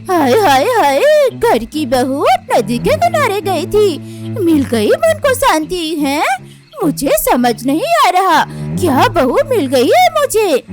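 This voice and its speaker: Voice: extremely high pitch, huge pitch swings, slow pace, over music, exaggerated voice. A performer voicing a domineering older female bird, an exclamatory, scolding mother-in-law in a cartoon. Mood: scornful, mocking.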